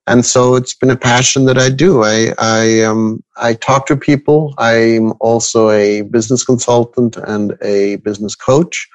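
A man in his fifties from Switzerland speaking as an expert guest in an interview, reflective and halting, repeating phrases for emphasis. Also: medium pitch, fairly even intonation. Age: 50s. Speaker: guest expert, astrologer and coach. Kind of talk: interview conversation.

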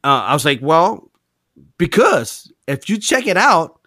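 New drummer, bright, dry sound. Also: male voice, sits high, big pitch swings, unhurried, no room sound. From New Orleans. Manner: loose, reflective, drifts between loud and soft. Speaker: drummer guest. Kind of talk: casual interview chat.

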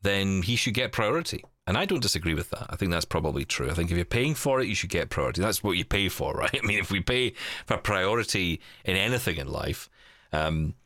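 Guy hosting a chatty podcast, really low voice, animated, says what he thinks, dry close sound. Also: fairly quick, no room sound. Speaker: podcast host. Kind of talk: casual podcast chat.

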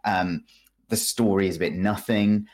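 A man with a somewhat low voice talks as a guest on a podcast. He gives informal opinions in loose, conversational phrasing. He often hedges and pokes fun at himself. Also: fairly even intonation, a little slow, darkish tone. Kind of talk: casual chat, informal game review.

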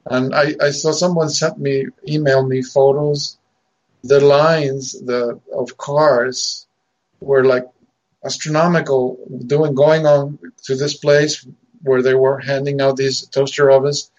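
A man from Argentina talks on a podcast as a guest, worked up and emphatic, stumbling over repeated words and chuckling between points. His voice sits at a middle pitch. The audio is dull and lo-fi. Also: flat delivery, takes it slowly, darkish tone. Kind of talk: casual podcast chat.

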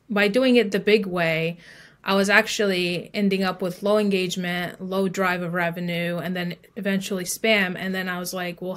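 A woman's voice: on the low side, a bit bright. A woman speaking as an expert guest in an interview, telling her own story in an informal, explanatory way peppered with filler phrases. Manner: informal, explanatory, frequent "like" and "you know". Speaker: podcast guest, email marketing expert.

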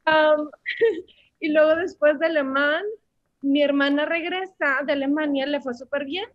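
Young Mexican woman, high voice, chatty guest, dry dull lo-fi sound. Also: fairly even intonation, measured pace, dry recording. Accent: Mexican Spanish. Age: 20s. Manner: chatty, storytelling, many fillers. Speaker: language teacher, guest. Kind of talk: casual interview answers.

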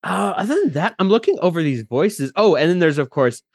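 A man chatting as a podcast guest, telling anecdotes in an animated, rambling way. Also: high voice, brisk, husky, no echo, clean studio sound. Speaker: podcast guest and tabletop player. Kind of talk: casual chat, telling gaming anecdotes.

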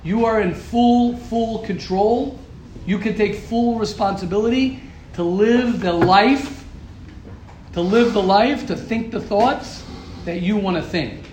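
A man's voice: high-pitched, takes it slowly, darkish tone, reverberant, a little hiss or hum. A man teaching a Torah class to a live group, emphatic and repetitive, asking rhetorical questions. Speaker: rabbi giving a class. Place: room with a live audience.

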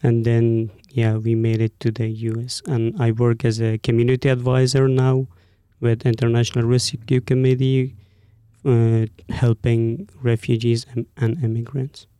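A young man, a guest in an interview, speaking haltingly and often restarting as he searches for words. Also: mid-range voice, flat delivery, warm tone, clean tone, no room sound, studio quality. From Afghanistan. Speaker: guest, community advisor. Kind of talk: conversational interview.

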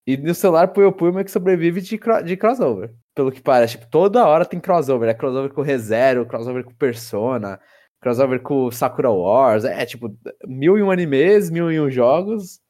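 A man's voice: mid-range voice, expressive, moderate pace, mellow. A man, co-hosting a casual podcast chat about video games, rambling with many restarts. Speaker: podcast co-host.

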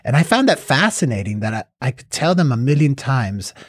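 A man's voice: mid-range voice, big pitch swings, fairly quick, breathy edge, no echo, studio quality. A middle-aged man from the United States, thoughtfully reflecting in an interview. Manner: thoughtful, reflective, with small restarts. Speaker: cross-cultural mediator. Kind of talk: interview answers.

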